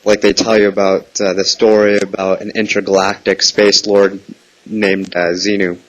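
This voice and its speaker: A man's voice: low-pitched, little pitch movement, moderate pace, poor audio. A man calling on the phone with casual, meandering questions, hesitant in delivery but persistent. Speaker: prank caller. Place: phone call.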